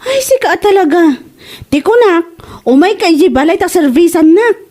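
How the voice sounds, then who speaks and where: A woman in her twenties playing a young mother and daughter who is cheerful and quick to protest, in a comedic audio drama. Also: extremely high pitch, expressive. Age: 20s. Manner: bright and exclaiming, quick to protest.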